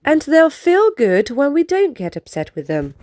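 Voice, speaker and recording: Animated, high-voiced woman reading a storybook. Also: moderate pace. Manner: expressive, instructive storytelling for children. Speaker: children's book reader. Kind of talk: read-aloud storybook narration.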